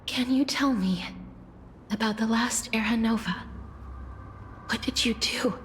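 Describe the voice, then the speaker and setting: A woman voicing a quiet, earnest young heroine in a game, with a gentle, hesitant, breathy delivery. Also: a little high, a little slow, a little hiss or hum, lightly acted. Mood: quietly resolute. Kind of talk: scripted game character voice acting.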